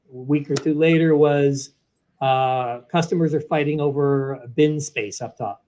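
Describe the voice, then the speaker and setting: A man, a guest in an interview, explaining a software product in an animated way, walking through each step. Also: mid-range voice. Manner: animated, walks through steps one by one. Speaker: guest, sales training software executive.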